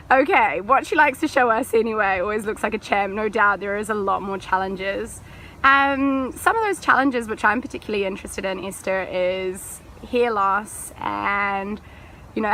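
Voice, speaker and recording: A woman's voice: medium pitch, sharp tone, slight background noise. A woman hosting an interview, introducing her guest and asking questions in a friendly, upbeat way. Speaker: interview host.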